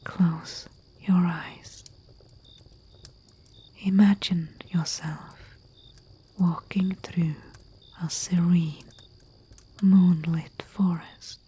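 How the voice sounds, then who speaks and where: A woman playing a humble, soothing herbalist in a soft-spoken ASMR roleplay, with a breathy whisper and a hushed manner. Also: fairly low pitch, steady tone, exaggerated voice. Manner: slow, hushed, soothing, with long pauses. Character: humble female herbalist, soothing and deferential servant to nobility. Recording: faint hiss with chirping night crickets. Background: crickets and night insect ambience.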